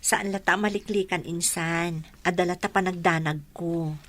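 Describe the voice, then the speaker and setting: A woman's voice: fairly low pitch, fairly even intonation, moderate pace, fairly bright tone, close to an everyday voice. A woman playing a grateful, anxious young woman in an Ilocano radio drama, with an Ilocano accent. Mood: worried.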